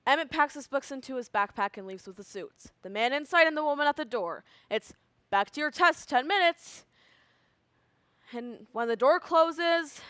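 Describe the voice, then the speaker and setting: Young woman playing high-voiced, anxious school student. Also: a bit bright, clear, resonant tone, no room sound. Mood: uneasy suspense. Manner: conversational, emphatic storytelling with dramatic pauses. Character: animated, anxious school student recounting events. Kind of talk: theatrical monologue.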